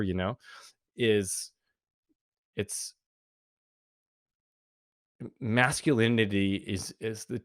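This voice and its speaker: A man with a low, deep voice talks through ideas in an earnest, reflective way, with plenty of "like" and "you know." The sound is dry and close, with no room around it. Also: a bit bright, no echo. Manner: earnest, reflective, with frequent fillers. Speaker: podcast guest, men's group founder. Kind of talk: casual interview talk.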